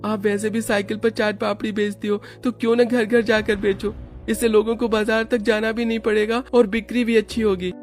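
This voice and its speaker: A man voicing a gentle, earnest, polite young boy in a cartoon story. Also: sits high, flat delivery, a little fast, soft background music, pitched above the performer's own voice, lightly acted. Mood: earnest, comforting. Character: gentle sick young boy comforting his mother.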